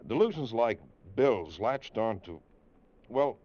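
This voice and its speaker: An actor playing a measured, reassuring army doctor, a psychiatrist with the rank of major, in an old-time radio drama. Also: male voice, medium pitch, sing-song. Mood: measured, thoughtful. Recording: muffled vintage radio recording.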